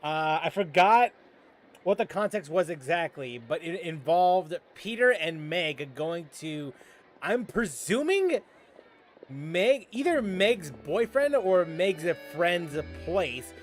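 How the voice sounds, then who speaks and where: A man's voice: sits high, expressive, slow pace, slight background noise. A man hosting a let's-play, making jokey, wisecracking asides. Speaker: gaming channel host. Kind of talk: casual let's-play commentary. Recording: voice over loud game music. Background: game music.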